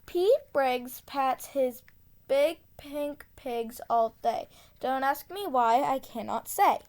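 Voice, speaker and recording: A woman reading a children's tongue-twister book aloud, enunciating carefully and deliberately. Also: high voice, animated, a little mellow. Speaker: storybook reader.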